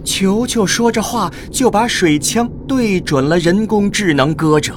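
A man's voice: high voice, unhurried. A man narrating a scripted radio drama as a storyteller. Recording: clearly noisy with ambient vehicle sound.

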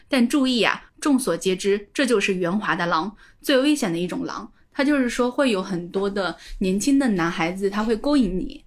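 A woman co-hosting a talk show, explaining stories and history in a conversational, orderly way. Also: medium pitch. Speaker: podcast co-host.

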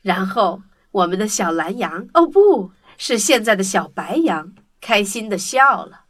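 A woman's voice: medium pitch, big pitch swings, a little slow, fairly bright tone. A woman narrating a children's storybook tale in a scripted storytelling style.

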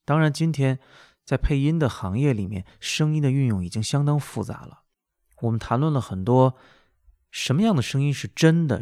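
A man's voice: medium pitch, creaky, darkish tone, no echo, studio quality. A man hosting an interview podcast, speaking reflectively and conversationally. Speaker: podcast host. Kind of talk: reflective narrated introduction, then interview conversation.

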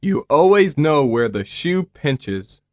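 A man reading a slow, hesitant patient. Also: on the high side, very animated, a little mellow, no echo, studio quality. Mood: unwell. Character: male patient with a cold, unwell. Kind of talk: scripted dialogue for English listening practice.

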